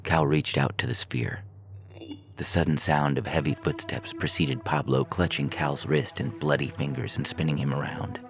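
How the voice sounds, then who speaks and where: A man's voice: very deep voice, fairly even intonation, moderate pace, faint music behind. A man narrating a scripted science fiction story as a podcast host, reading in an audiobook style.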